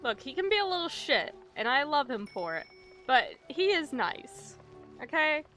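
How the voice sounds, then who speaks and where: A woman's voice: high-pitched, very animated, moderate pace, pushed and bright, faint music behind. A young adult woman giving casual livestream game commentary, jokey, affectionate and slangy with some swearing. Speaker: streamer.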